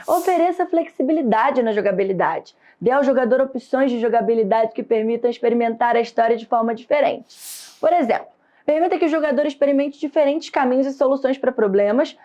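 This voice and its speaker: Brazilian woman reading out a lesson, steady and explaining, kinda high voice, muffled lo-fi audio. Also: fairly quick. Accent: Brazilian Portuguese. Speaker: course instructor. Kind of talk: scripted lesson narration. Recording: dull lo-fi sound.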